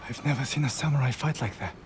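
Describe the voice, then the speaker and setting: An actor playing an anxious, earnest blacksmith in a cinematic video game. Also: male voice, medium pitch, fast talker, noisy background, close to an everyday voice. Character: anxious, gentle blacksmith man, devout and wary. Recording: dramatic music behind the voice.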